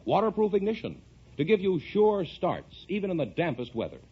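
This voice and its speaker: A male announcer delivers an emphatic sales pitch in 1950s radio style. His voice is very animated and rather high, with a husky edge, on a muffled vintage recording. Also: moderate pace. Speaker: commercial announcer.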